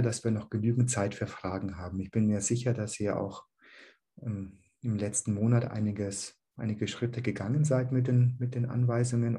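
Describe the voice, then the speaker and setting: Calm low-voiced man teaching. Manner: calm, searching, with many "ähm" restarts. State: has a slight cold. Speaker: dharma teacher. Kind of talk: online dharma teaching. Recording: online group session.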